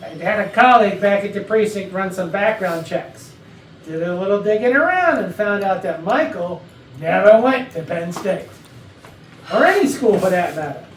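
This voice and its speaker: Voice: medium pitch, animated, moderate pace, close to an everyday voice. An actor playing a blunt detective who presses suspects with authority in a staged murder mystery comedy. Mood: accusing. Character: blunt, probing detective leading a murder interrogation. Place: rehearsal room for a staged reading. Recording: echoey room, distant mic, background noise.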